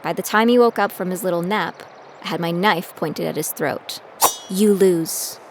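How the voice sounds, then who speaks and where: A woman's voice: fairly low pitch, normal speed, noisy background, close to an everyday voice. An actress playing a determined young female bounty hunter in training, narrating her own story in an audio drama. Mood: defiant. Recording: loud music bed behind voice.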